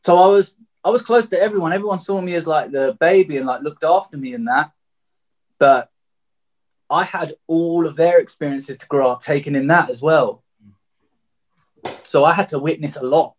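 A man's voice: a little high, normal speed, long pauses. A man of thirty with a southeast English accent, telling his personal story openly and reflectively as an interview guest. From Margate, England.

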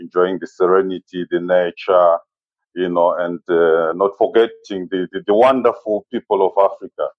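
A man in his forties with a Zimbabwean accent, speaking as an interview guest in a measured, halting way and often saying "you know". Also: sits low, fairly even intonation, no room sound, lo-fi sound. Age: 40s. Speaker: interview guest, safari business owner. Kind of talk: conversational interview, personal recollection.

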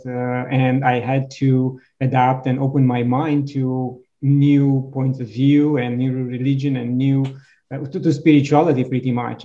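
A man's voice: mid-range voice, mellow. A man hosting an interview, speaking hesitantly with many fillers. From Romania. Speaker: host. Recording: dull lo-fi audio.

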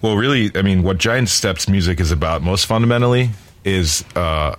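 A man's voice: low voice, normal speed. A man, founder of a music nonprofit, explaining his mission at length in an interview, earnest and expansive with many fillers. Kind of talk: interview conversation.

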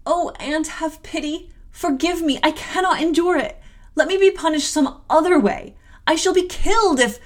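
Woman acting a little girl, high pitch, frightened, pleading, frantic, storybook drama. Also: normal speed. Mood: desperate pleading. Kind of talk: classic novel audiobook character voice.

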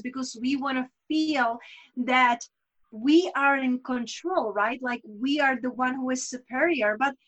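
High-voiced woman. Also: a bit bright, low-quality recording. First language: Czech. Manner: earnest, reflective, with rhetorical asides. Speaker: guest. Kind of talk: candid personal conversation.

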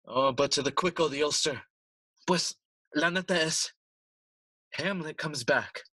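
Sly murderous king, kinda high husky voice, theatrical shakespeare radio play. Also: bright, forceful tone, dry recording, heavily acted. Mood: goading, conspiratorial. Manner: theatrical, persuasive, deliberate. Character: scheming, smooth-talking king plotting murder. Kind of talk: bilingual Shakespearean audio play acting.

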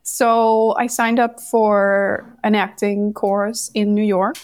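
A woman's voice: mid-range voice, takes it slowly, no echo, clean studio sound. Woman telling her story. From Denmark. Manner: candid, animated storytelling. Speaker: podcast guest. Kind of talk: casual interview conversation.

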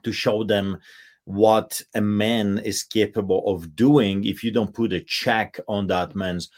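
Guest: middle-aged Polish man, strong Polish accent, lowish voice, emphatic and insistent, heard over a video call. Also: fairly even intonation, takes it slowly. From Poland. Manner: forceful, emphatic, with frequent uh fillers and restarts. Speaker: pastor, guest. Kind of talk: impassioned interview talk.

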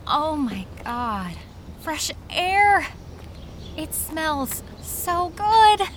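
Bright, high-voiced sheltered teenage girl. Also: sing-song, very slow, slight background noise, full character voice. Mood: wistful, awed. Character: frail sheltered teenage girl, excitable and wistful. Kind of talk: audio fiction drama acting.

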